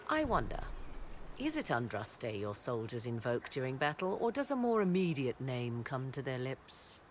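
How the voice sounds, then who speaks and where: A woman's voice: rumbling low, big pitch swings, moderate pace, a little hiss or hum. An actress playing a haughty, scholarly witch, arch and formal, in a fantasy game. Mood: wry, teasing. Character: haughty, scholarly witch advising on elven legend. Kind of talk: dramatic fantasy game acting.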